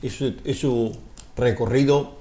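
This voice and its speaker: Male TV host with a mellow voice. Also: mid-range voice, unhurried. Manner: asks short questions, brief affirmations. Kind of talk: TV interview.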